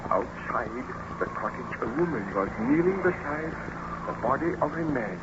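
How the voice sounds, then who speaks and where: An actor playing an anguished, guilt-ridden baron in an old-time radio drama, speaking in a formal, theatrical manner. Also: male voice, medium pitch, lively intonation, normal speed, close to an everyday voice. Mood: grim, haunted recounting. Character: anguished, guilt-ridden young baron who made a monster. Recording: dull, noisy old radio recording.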